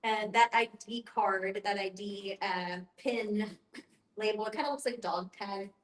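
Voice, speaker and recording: A woman with a mid-pitched voice leads an informal discussion. She explains things in a reassuring, conversational way. The room around her is audibly echoey. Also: measured pace, slight background noise. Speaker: guest facilitator and end-of-life educator.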